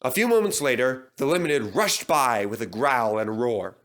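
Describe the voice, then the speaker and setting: A man's voice: medium pitch, lively intonation, normal speed, fairly bright tone. A man narrating a children's story as a scripted reading.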